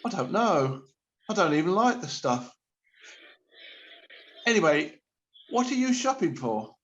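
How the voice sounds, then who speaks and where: A man's voice: sits high, sing-song, measured pace. A man playing an awkward, sheepish shopper in a radio play, hesitant, stammering and evasive. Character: awkward, sheepish panic-buying supermarket shopper. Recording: slightly dull lo-fi sound.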